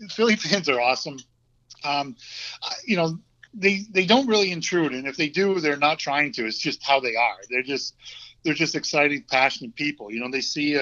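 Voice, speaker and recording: A man in his fifties with a Vermont accent, chatting easily as a podcast guest and often pausing with ums and restarts. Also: a little high. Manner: relaxed, hesitant, many ums and restarts. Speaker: guest, former hockey player. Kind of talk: casual interview chat. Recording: dull lo-fi audio.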